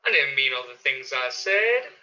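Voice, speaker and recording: A man's voice: medium pitch, very animated, moderate pace, sharp tone. A man playing a hot-headed suburban neighbor in a panicked radio drama. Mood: sheepish, nervous. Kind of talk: radio play acting. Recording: dull lo-fi audio.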